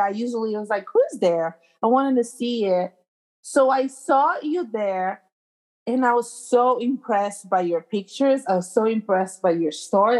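A woman hosting an interview, gushing with praise and asking her guest questions in a casual way. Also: a little high. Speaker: host.

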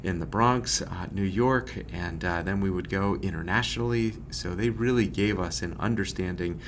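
A man from Massachusetts, a nonprofit executive, answering interview questions in an earnest, measured way. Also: low voice, slight background noise. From Massachusetts, USA.

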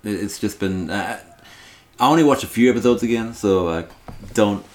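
A man co-hosting a casual podcast, talking hesitantly with many pauses and fillers. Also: lowish voice, darkish tone. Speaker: podcast co-host.

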